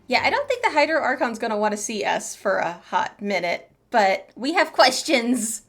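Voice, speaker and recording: A woman's voice: a little high, sing-song, normal speed, fairly bright tone. An American woman from the eastern US, chatting casually and playfully as she streams gameplay. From eastern United States. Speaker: game streamer. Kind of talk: casual live gameplay commentary. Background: game music.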